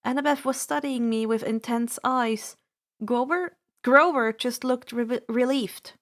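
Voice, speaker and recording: A woman reading fan fiction aloud as an expressive first-person narrator, with a deliberate, dramatic delivery. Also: on the high side.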